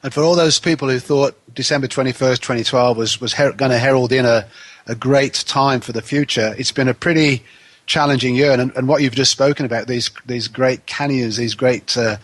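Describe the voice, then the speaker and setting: A man hosting a spiritual talk radio show, a little nasal from a head cold, with gentle hesitant phrasing. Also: mid-range voice, fairly even intonation, fairly quick, no echo.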